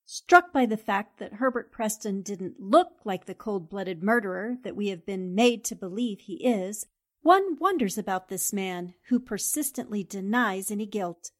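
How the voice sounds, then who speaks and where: A woman's voice: mid-range voice, lively intonation, a little slow. A woman playing a determined, earnest young newspaper columnist in a 1940s-style radio drama. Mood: earnest dictation. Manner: earnest, insistent.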